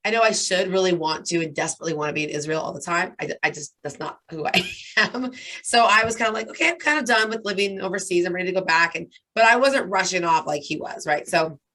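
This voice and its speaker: A hoarse woman in her thirties with a raspy voice, chatting as a guest and telling a long story with lots of "like" and "right?". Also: on the low side, fast talker, pushed and bright. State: hoarse from talking nonstop. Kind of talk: casual interview chat.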